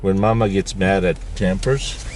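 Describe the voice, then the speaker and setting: A man's voice: low voice, darkish tone, slight background noise. A Filipino man with a Filipino accent, telling jokes in casual chat from inside a car. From Philippines. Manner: joking, unhurried storytelling. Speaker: vlog host.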